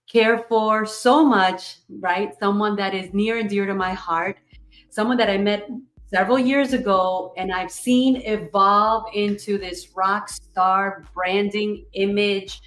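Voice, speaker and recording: A woman's voice: medium pitch, steady tone, unhurried, fairly bright tone. Effusive woman host, echoey room. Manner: effusive, upbeat, talks in rhetorical questions. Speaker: podcast host. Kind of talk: enthusiastic livestream interview.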